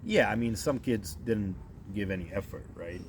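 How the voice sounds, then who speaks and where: A man in his thirties from Croatia, answering interview questions in a relaxed way and often saying "you know" and "right?". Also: lowish voice, normal speed, noisy background. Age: 30s.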